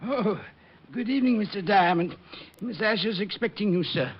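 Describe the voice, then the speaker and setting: High, husky, fluttery butler. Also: male voice, lively intonation, moderate pace. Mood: polite, deferential. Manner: deferential, fluttery, formal. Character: fussy, polite, flustered older male butler. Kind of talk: old-time radio comedy acting. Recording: dull old radio recording.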